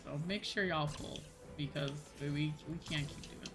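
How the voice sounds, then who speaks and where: Female game streamer, low-voiced, informal and rambling, clear music underneath. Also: moderate pace. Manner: rambling, informal, thinks aloud. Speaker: livestreamer playing an online game. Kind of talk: casual livestream chat with game commentary. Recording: music behind the voice.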